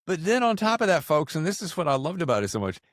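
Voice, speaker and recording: A man hosting a podcast, chatting casually and slangily in an animated way as he interviews a guest. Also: a little high, fast, dry recording, studio quality. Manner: animated, slangy, acts out reactions. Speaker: podcast host. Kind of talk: casual book interview chat.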